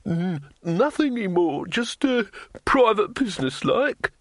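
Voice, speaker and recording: A man's voice: a little high, sing-song, unhurried, bright, forceful tone, dry recording, pitched above the performer's own voice, full character voice. A fat, flustered innkeeper, as a man puts him on, stammering through every sentence in a voice pushed well above the reader's own. He stumbles, restarts and rambles, always eager to please and scared of the man in front of him. The storybook reading sounds close and dry. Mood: nervous.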